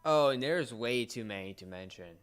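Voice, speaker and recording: A young man co-hosting a casual pop culture discussion, explaining things in a halting, searching way. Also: mid-range voice, lively intonation, clean tone. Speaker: podcast co-host.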